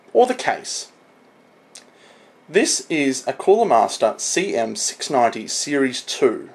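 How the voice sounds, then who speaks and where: A man's voice: mid-range voice, slightly rough. A young man giving an informal, explanatory product walkthrough on camera as a video presenter. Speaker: video presenter showing computer parts.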